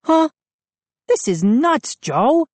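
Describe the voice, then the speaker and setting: A woman voicing an excitable boy who is the narrator's friend, loud and agitated. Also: high voice, very slow, dry recording, voice pushed up, exaggerated voice.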